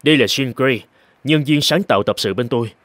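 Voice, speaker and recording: A man's voice: medium pitch, brisk, a bit bright, no echo, natural voice. A man voicing an earnest, persuasive boss of a young company in a cartoon, speaking politely. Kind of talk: dubbed cartoon dialogue.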